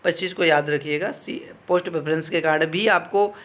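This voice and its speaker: Male coaching instructor, fairly high register, informal explanatory delivery with regular check-ins to listeners. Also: a little fast, fairly bright tone. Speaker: exam coaching teacher advising candidates. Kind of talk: informal explanatory talk on exam cut-offs.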